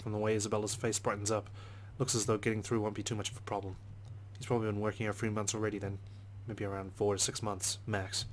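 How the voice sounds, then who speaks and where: A man's voice: on the low side, little pitch movement, quick pace, a little hiss or hum. A man reading visual novel narration aloud as a let's player.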